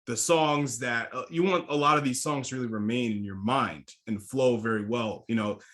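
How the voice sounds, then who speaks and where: A man's voice: mid-range voice, normal speed, a bit bright. A man hosting a casual podcast interview, enthusiastic and laughing often. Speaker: podcast host.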